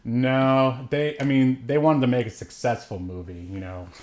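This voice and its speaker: A man's voice: medium pitch, moderate pace, a crowd in the background. A man co-hosting a podcast, giving a casual, comedic movie recap in a rambling, jokey way with frequent chuckles. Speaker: podcast co-host reviewing films.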